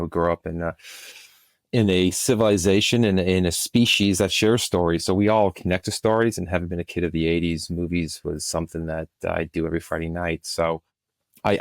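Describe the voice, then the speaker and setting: Deep-voiced middle-aged man in a dry interview. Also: dry recording. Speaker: guest, film and podcast producer. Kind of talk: conversational interview answers.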